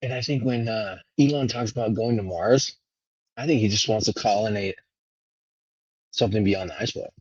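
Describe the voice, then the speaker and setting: A man from Venezuela talking casually and unscripted as a livestream host, rambling and halting as his thoughts trail off. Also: on the low side, a little mellow, slightly rough, no room sound, highs cut off. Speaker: live stream host.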